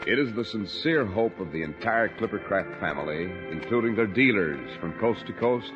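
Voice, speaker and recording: A man's voice: lowish voice, lively intonation, moderate pace. A man announcing a 1940s radio show in a formal, polished sponsor delivery. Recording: muffled vintage radio broadcast, loud organ music. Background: loud organ music.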